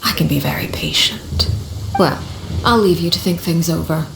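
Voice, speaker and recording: An actress playing a cold, menacing leader who speaks calmly and condescendingly, with veiled threats in a low, composed voice. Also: female voice, normal speed, gravelly, slight background noise. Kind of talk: game cutscene dialogue. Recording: roomy game mix.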